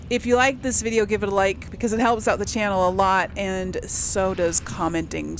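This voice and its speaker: A woman's voice: medium pitch, slight background noise. A woman giving casual let's play commentary, chatting to viewers and rambling as she thinks aloud. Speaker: gaming video host.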